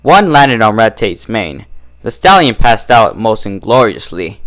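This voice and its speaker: Expressive male story reader, lively pitch. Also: measured pace, dry recording. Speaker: fan fiction reader and reviewer. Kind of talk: storybook narration and casual review.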